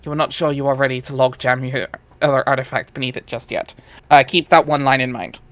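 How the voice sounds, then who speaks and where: A man's voice: medium pitch, flat delivery, a little fast, a bit bright, highs cut off. A man narrating a webcomic dramatic reading in a wry, informal way, with casual asides.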